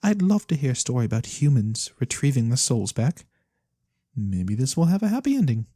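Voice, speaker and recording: A performer voicing a mysterious, courteous fantasy lorekeeper in a soft-spoken ASMR roleplay, breathy and theatrical with knowing pauses. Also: mid-range voice, very animated, no room sound, clean studio sound. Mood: warm, courteous. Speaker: storyteller character.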